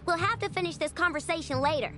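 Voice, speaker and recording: A woman voicing a small, excitable, childlike flying companion girl in a video game. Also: very high voice, quick pace, over music. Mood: alarmed, frantic. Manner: exclamatory, childlike.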